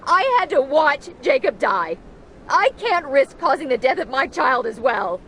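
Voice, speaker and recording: A woman's voice: sits high, sing-song, measured pace, a bit bright, a little hiss or hum, full character voice, rough recording. A woman playing a stubborn, defensive pregnant widow in a video game drama. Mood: anguished.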